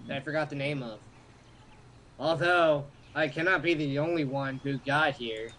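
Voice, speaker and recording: A man of medium pitch reads visual novel lines aloud as an amateur, then wanders into irreverent asides. His delivery is casual, and the homemade recording has noticeable background noise. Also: fairly bright tone. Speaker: amateur voice-over player in a group. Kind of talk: reading game dialogue with joking asides. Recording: noisy amateur home recording.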